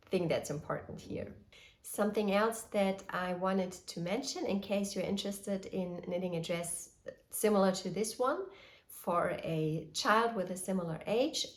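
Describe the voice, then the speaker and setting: Lady talking through her knitting, a bit stuffy from a cold, lowish voice, roomy echo. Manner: cheerful, detailed, slightly hesitant. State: getting over a cold. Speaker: knitting podcast host. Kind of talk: casual show-and-tell. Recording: echoey room.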